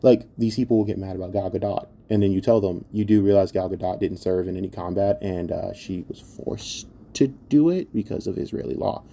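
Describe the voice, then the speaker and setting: Mellow, low-voiced man ranting bluntly. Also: a little fast, creaky, hardly any pauses, slight background noise. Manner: blunt, heavy swearing; exasperated, scornful. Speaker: online commentator. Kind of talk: opinionated rant commentary.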